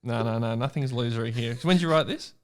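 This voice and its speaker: A man's voice: medium pitch, fast. A man co-hosting a comedy podcast, chatting casually in a playful, tongue-in-cheek way. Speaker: podcast co-host reading a story aloud.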